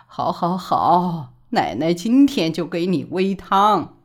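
A woman's voice: fairly low pitch, very animated, full character voice. A woman doing a doting old grandmother. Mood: eager. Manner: slow, tender, pleading.

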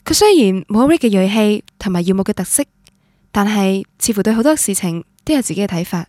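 A woman's voice: mid-range voice, lively intonation, quick pace, mellow, no room sound, clean studio sound, natural voice. An actress with a Cantonese accent playing a romantic, stubborn young woman who tells her love story in a radio drama. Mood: wistful. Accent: Cantonese, Guangdong. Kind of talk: radio drama narration and dialogue, introspective first-person.

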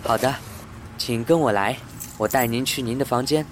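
A man's voice: mid-range voice, moderate pace, noisy background, close to an everyday voice. A man playing a courteous, hesitant and easily puzzled building manager who also narrates a sci-fi radio drama. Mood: polite. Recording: roomy sound with music underneath.

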